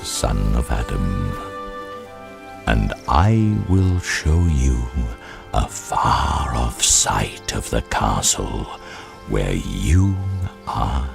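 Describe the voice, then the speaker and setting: A majestic lion king with an extremely deep, gravelly voice. He is grave and kindly, speaking with solemn, stately slowness over clear background music. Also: animated, heavily acted. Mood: grave, regal. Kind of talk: dramatised audiobook acting.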